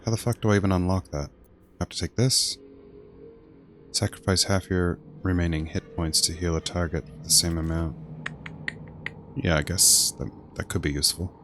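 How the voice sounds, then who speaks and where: A man with a very low voice commentating casually on a game he is playing. He thinks aloud in a dry, blunt way, with faint music in the background. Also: steady tone, moderate pace, pauses often, fairly bright tone. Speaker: game streamer. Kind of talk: casual gameplay commentary, reading menus aloud.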